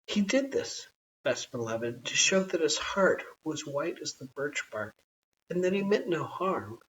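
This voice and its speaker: A storyteller reading a children's bedtime story aloud in an expressive style. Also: medium pitch, a little slow. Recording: dull, hissy, distant home recording.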